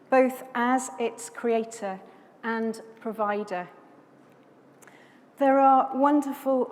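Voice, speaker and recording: A woman from South Wales giving a sermon as a guest speaker, in a measured, reflective way, phrase by phrase. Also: on the high side, darkish tone. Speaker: guest preacher from a conservation charity. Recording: long hall reverb, background noise.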